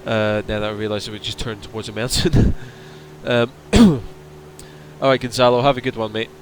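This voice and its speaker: A man's voice: lowish voice, moderate pace, a little hiss or hum. Tired man chatting on a stream. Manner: relaxed, chatty, answering viewers' questions. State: voice straining, going hoarse. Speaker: flight sim streamer. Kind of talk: casual livestream chat.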